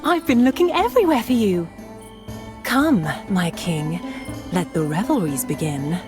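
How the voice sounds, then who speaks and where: A woman's voice: mid-range voice, sing-song, unhurried, over music. An actress playing a seductive, playful female reveller in a video game, teasing and theatrical. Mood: beckoning. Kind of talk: dramatic video game cutscene acting.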